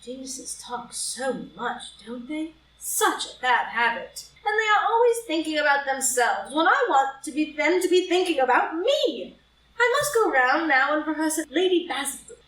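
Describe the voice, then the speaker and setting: A woman's voice: high voice, very animated, normal speed, a bit bright. A woman acting a flippant, vain, playful young society lady in a group play reading, with an arch, breezy, teasing manner. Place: live in-person group reading in a room. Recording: roomy, distant live reading, noisy.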